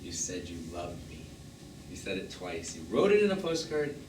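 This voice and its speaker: A man's voice: sits low, sing-song, normal speed. Noisy, far-off actor as flippant middle-aged man. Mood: subdued, confessional. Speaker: stage actor. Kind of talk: live stage drama acting. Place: small theatre, recorded from a distance. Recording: very noisy, echoey stage recording from afar.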